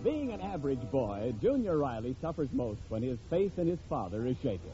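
A man's voice: mid-range voice, big pitch swings, a little fast. A man narrating an old radio comedy as its announcer, with wry scripted delivery. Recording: dull old radio recording, music behind.

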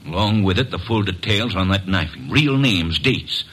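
A man playing a bitter, driven drifter bent on revenge in a 1940s radio drama, tense and husky. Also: deep voice, expressive, moderate pace, fairly bright tone, exaggerated voice. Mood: grim.

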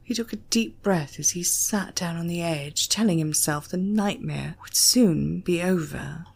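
A woman's voice: on the low side, a little slow, creaky, a little mellow, slightly rough. A woman with a British accent giving a dramatic narration of a scripted story. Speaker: audiobook narrator.

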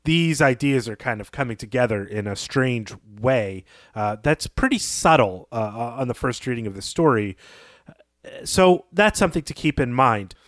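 A man's voice: mid-range voice, animated, moderate pace, dry recording, studio quality. Reflective man discussing a story. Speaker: podcast co-host.

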